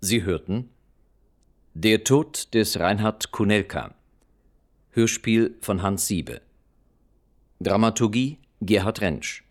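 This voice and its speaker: A man working as a radio announcer, reading scripted credits in a formal, measured way. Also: on the low side.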